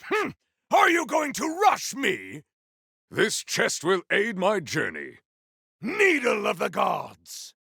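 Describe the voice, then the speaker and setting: A male voice actor playing a grandiose, battle-hungry video game warrior, declaiming in a bombastic, archaic style with a rough, gravelly voice. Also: very high voice, bright, forceful tone, dry recording, clean studio sound, heavily acted. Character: grandiose battle-hungry warrior wielding fire, frost and storm. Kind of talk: video game combat barks.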